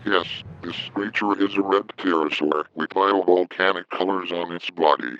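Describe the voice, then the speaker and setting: Man as a deep police chief on phone. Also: moderate pace, a bit bright, clear, resonant tone. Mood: official. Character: male police chief phoning in to news. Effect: phone line. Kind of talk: scripted phone-in statement. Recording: tinny phone line.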